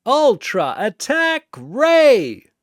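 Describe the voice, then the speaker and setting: Giant male alien warrior for an audio story, acted by a man: high-pitched, resolute, belting urgent battle cries. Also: huge pitch swings, extremely slow, no room sound, clean studio sound, full character voice. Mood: battle cry.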